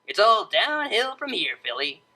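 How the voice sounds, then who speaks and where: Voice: medium pitch, big pitch swings, unhurried, sharp tone, voice pushed up, heavily acted. A performer voicing a playful slacker unicorn mare DJ with a casual, teasing way of talking, in an audio story.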